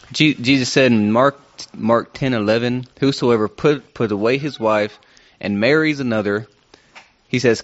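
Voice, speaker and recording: A man in a church discussion, tentatively thinking aloud about a verse. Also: mid-range voice. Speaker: church member sharing. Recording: dull lo-fi sound.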